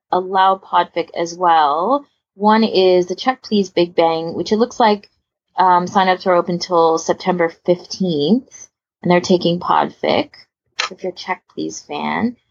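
Gravelly, husky woman on a podcast. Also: medium pitch, muffled recording. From United Kingdom. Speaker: podcast host. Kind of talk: casual podcast discussion.

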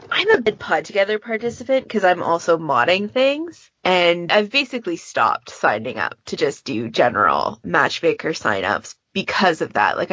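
A woman's voice: on the low side, a bit bright, slightly rough, dry recording, highs cut off. A woman chatting casually and informally as a moderator on a podcast panel.

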